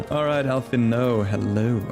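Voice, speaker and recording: Friendly male streamer chatting casually with viewers, game music underneath. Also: mid-range voice. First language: Flemish. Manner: chatty and friendly, greets chat by name, laughs often. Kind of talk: casual live-stream chat.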